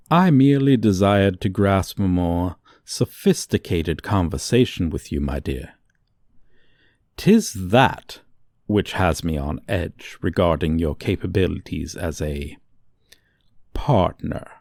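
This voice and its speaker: Man voicing a deep, pompous dragon lover. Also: expressive, takes it slowly, a little mellow, no room sound, clean studio sound, full character voice. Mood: condescending, fond. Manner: pompous, formal, archaic diction. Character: haughty, archaic-speaking male dragon, tenderly courting a human. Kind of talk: ASMR fantasy romance roleplay.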